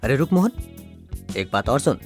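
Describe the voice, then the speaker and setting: An actor playing a reassuring, instructive model petrol pump attendant in a training film, advising firmly like a big brother. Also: male voice, mid-range voice, very animated, moderate pace, over music, natural voice. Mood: firm, encouraging. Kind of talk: training film dialogue acting.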